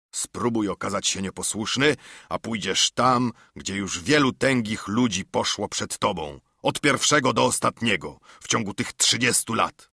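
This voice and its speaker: A man plays an older pirate captain from a storybook, menacing and scornful. His husky voice swaggers and taunts, swinging widely in pitch as he asserts command. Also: bright, forceful tone, no echo, studio quality, full character voice. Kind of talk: audiobook character acting.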